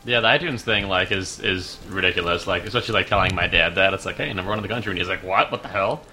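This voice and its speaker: A man's voice: low voice, fast, sharp tone, a little hiss or hum. A man co-hosting a casual podcast, rambling in a self-deprecating way. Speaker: podcast co-host.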